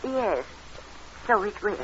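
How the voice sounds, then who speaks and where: An actress playing a frail, fretful old aunt, wavering and fussy, in an old radio drama. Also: female voice, mid-range voice, animated, takes it slowly. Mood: genteel, fussy. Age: older. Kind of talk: 1940s radio drama acting. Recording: muffled, noisy old radio recording.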